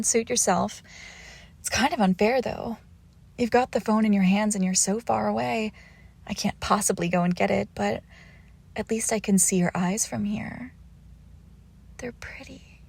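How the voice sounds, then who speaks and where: Woman acting a teasing, haughty young vampire, slightly creaky. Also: medium pitch, darkish tone. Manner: teasing, coy, entitled. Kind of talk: intimate roleplay audio acting.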